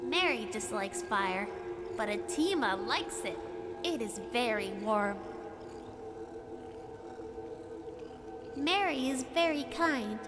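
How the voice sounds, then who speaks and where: A sweet, naive little cat-folk girl from a fantasy video game. The voice is high and childlike, with simple third-person phrasing. Loud music plays behind it. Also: expressive, a little slow. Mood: playful, content.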